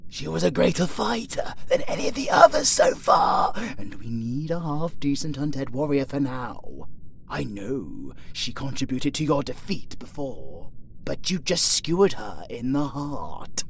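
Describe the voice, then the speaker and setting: A British man acting a gleefully wicked, lustful necromancer in an audio roleplay, with theatrical delivery between murmurs and shouts. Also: mid-range voice, big pitch swings, measured pace. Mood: frantic pleading. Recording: clearly noisy ambient background.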